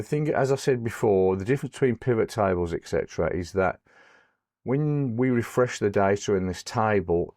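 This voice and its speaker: Croaky man teaching spreadsheets. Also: low voice, darkish tone, no room sound, clean studio sound. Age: middle-aged. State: hoarse from a cold. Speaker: host of an Excel tutorial channel. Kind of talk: screen-recorded software tutorial.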